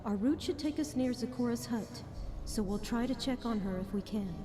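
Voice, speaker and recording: Woman as a high-voiced scholarly pony mare. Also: normal speed, close to an everyday voice. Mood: earnest, formal. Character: scholarly female alicorn reading out her report. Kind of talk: formal letter reading in audio drama.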